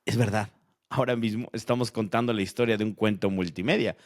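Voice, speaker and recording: A man's voice: lowish voice, steady tone, fast talker, close to an everyday voice. A man playing a logical-minded character who explains storytelling in a scripted educational audio fiction. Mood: explanatory. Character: logical-minded man debating how stories work.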